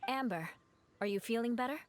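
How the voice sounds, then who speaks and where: A woman's voice: on the high side, brisk, faint music behind, close to an everyday voice. A woman playing a composed, serious young female warrior in a fantasy game. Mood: concerned. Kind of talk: scripted game voice acting.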